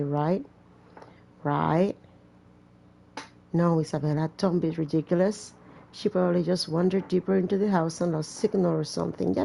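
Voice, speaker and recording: Low-pitched, creaky-voiced woman gamer. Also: dark tone, slight background noise, rough recording. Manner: casual, chatty, joking asides. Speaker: gamer playing and reading aloud a horror visual novel. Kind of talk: gameplay commentary mixed with story reading.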